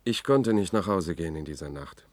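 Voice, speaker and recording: A haunted, obsessive male reporter in a science-fiction radio play. His voice is low, and he tells his story with brooding, measured intensity. It is recorded dry and close. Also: lively intonation, darkish tone, no echo. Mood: tense, unravelling. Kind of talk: German radio drama, first-person narration.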